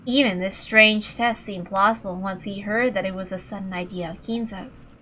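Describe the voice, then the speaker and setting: Bright-voiced woman narrating a story. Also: mid-range voice, fairly even intonation, normal speed, a little hiss or hum. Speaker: reader of a visual novel playthrough. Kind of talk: scripted narration. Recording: faint music behind the voice. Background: faint music.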